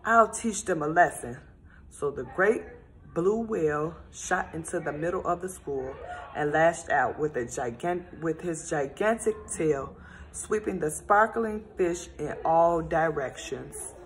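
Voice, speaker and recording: A woman reading a children's storybook aloud in a gentle, expressive storytelling manner. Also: sits low, measured pace, slight background noise, rough recording.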